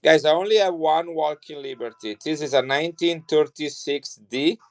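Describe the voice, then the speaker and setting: A man's voice: on the high side, moderate pace. Chatty man selling coins. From Italy. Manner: chatty and rambling, with frequent restarts. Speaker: coin seller on a live stream. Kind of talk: casual live sales chat.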